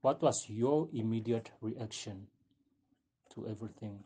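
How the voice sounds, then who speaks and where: Man playing a slow, mellow, sympathetic investigator. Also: lowish voice, slight background noise, lightly acted. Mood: gentle, questioning. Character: sympathetic male investigator taking a witness statement. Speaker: actor in an audio drama. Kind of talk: amateur audio drama acting.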